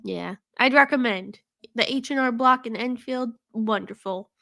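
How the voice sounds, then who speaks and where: A woman's voice: a little high, normal speed, gravelly, a bit bright, dry recording. A woman co-hosting a podcast, congested from a cold, rambling with lots of "like" and frequent laughs. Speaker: podcast co-host. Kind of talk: casual chat. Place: podcast studio.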